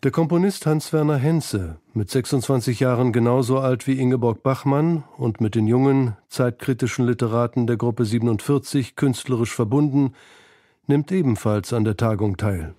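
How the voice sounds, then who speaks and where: A man's voice: mid-range voice, normal speed, dark tone. A man reading scripted narration for a documentary feature.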